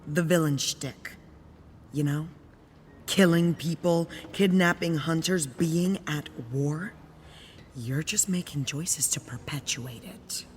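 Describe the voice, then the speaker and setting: A woman's voice: low-pitched, slow, big-room sound, faint music behind. A woman playing an earnest young vampire hunter in an audio drama, speaking with emotion. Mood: weary challenge.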